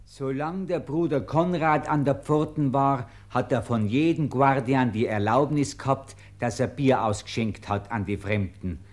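An actor playing a plain-spoken Bavarian witness, recounting in a folksy, matter-of-fact way in a radio play. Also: male voice, mid-range voice, measured pace, few pauses, natural voice. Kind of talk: German radio play acting.

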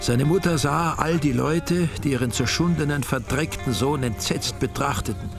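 An older man narrating a radio drama in a measured, wry way. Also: medium pitch. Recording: studio radio play with music.

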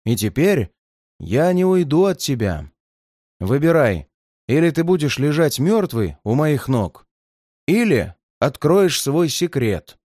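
A man voicing a cruel, gloating red-haired giant who threatens an old man in a slow, heavy delivery. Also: a little high, sing-song, darkish tone, dry recording, studio quality, full character voice. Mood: threatening.

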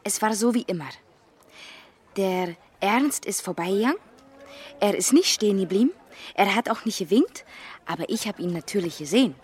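A woman's voice: mid-range voice, lively intonation, moderate pace, pauses often, a little mellow, a little hiss or hum, natural voice. A woman playing a shy, naive teenage butcher's daughter, hesitant and guarded, in a German radio crime drama. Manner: hesitant, guarded, simple short answers.

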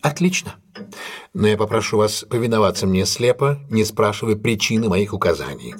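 Shrewd gentleman detective, husky, lowish voice, ironic, measured, commanding, radio play. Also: animated. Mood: confident. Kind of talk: Russian audio drama acting.

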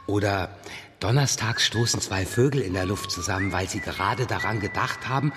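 A man's voice: fairly low pitch, normal speed, noisy background, lightly acted. A man playing a playful father in a radio play, spinning absurd stories in a whimsical, improvising manner.

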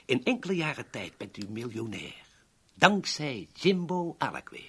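An oily, self-important go-between in an old radio play. His intonation swoops widely, and his slightly husky voice lays on elaborate courtesy, wheedling in a theatrical, florid way. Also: mid-range voice, sing-song, unhurried, bright, forceful tone, full character voice. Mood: oily persuasion. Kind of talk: 1970s Dutch radio drama acting.

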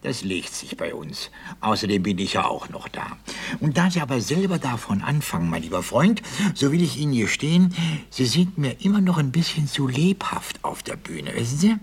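Pompous, husky, scatterbrained aristocrat. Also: male voice, mid-range voice, big pitch swings, heavily acted. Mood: grumbling, nostalgic. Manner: pompous, halting, repeats phrases. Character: pompous, scatterbrained old-school aristocratic court theatre official. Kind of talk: German radio play comedy acting.

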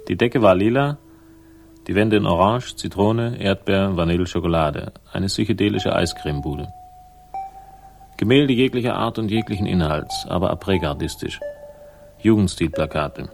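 A man's voice: low voice, normal speed, creaky. An actor playing a wry, world-weary male private investigator, narrating dryly in a 1960s German radio crime drama. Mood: deadpan narrating. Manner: dry, wry, ironic. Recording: music behind the voice. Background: music.

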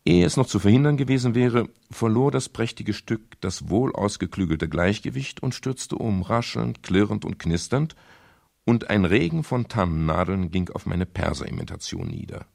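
A man's voice: on the low side, expressive, normal speed, vocal fry, a little mellow, no echo. An actor playing a wry private detective who narrates his own story dryly in a 1970s crime radio play. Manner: dry, laconic, ironic storytelling.

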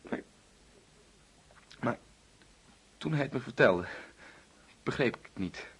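Anguished young man in a radio play, rough unclear voice, guilty confession, stumbles over words, intense. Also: mid-range voice, heavily acted. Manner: halting, repeating words, intense, accusing outbursts. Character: anguished young man confessing to causing his friend's death. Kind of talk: radio drama acting.

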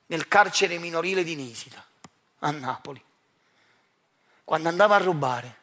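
Higher-voiced Neapolitan man, theatrical monologue. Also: fairly bright tone. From Naples, Italy. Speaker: actor and writer performing his own monologue.

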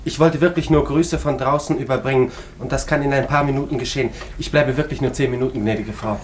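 An actor playing a young lieutenant in a German radio drama, formal, evasive and faltering under pressure. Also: male voice, medium pitch, fairly even intonation, fast, a little hiss or hum, natural voice. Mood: polite, hurried. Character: young male army lieutenant, evasive, overwhelmed, polite.

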